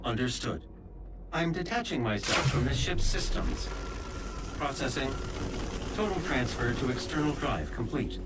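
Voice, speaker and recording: A calm, obedient male ship's AI from a video game, played by an actor. His mid-pitched voice is clipped and matter-of-fact, heard at a distance through heavy background noise. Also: a little slow, long pauses, lightly acted. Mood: calm, compliant.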